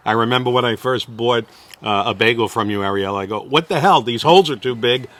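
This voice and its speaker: A man from Brooklyn hosting a casual interview, opinionated and halting with frequent fillers. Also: medium pitch, fairly bright tone. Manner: hesitant, many uh fillers, opinionated. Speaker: host.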